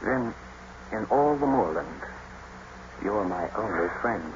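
Brooding master of the house: very low, deliberate, menacing, on a noisy vintage radio broadcast. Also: male voice, expressive, lo-fi sound. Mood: smooth, seductive. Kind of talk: 1940s-50s radio drama acting.

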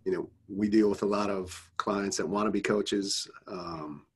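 A man interviewing a guest casually, speaking softly and hesitantly with many fillers. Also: fairly low pitch, fairly even intonation, normal speed, slightly rough.

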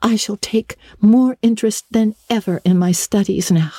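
Woman acting an eager, gushing, high-voiced schoolgirl. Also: moderate pace, dark tone, dry recording, clean studio sound, close to an everyday voice. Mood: blissful, earnest. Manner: gushing, dramatic, effusive. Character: eager, talkative, earnest orphan schoolgirl. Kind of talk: dramatic audiobook reading of a classic novel.

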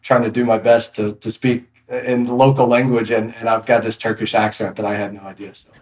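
A man's voice: on the low side, little pitch movement, fairly quick, echoey room, a little hiss or hum. A man guest on a podcast, telling stories about his work in a casual, rambling way with many restarts. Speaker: podcast guest, real estate agent. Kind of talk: casual interview storytelling.

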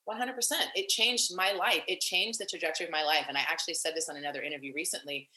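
A young woman activist talking in an interview, animated and long-winded with many fillers and restarts. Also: deep voice, fast, reverberant, low-quality recording. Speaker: activist and nonprofit founder. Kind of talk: casual interview conversation.

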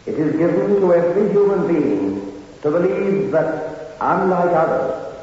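An actor playing a solemn, scornful spirit who judges the dead in a 1960s radio horror play, speaking gravely. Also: male voice, fairly high pitch, expressive, a little mellow. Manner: slow, grave, pronouncing. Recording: echoing long reverb, muffled old radio.

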